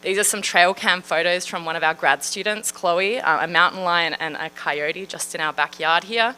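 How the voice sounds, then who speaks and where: A woman's voice: fairly low pitch, moderate pace, bright, forceful tone, clear, resonant tone, poor audio. An Australian woman in her thirties, a marine scientist giving a friendly, chatty public science talk with frequent fillers. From Western Australia. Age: 30s.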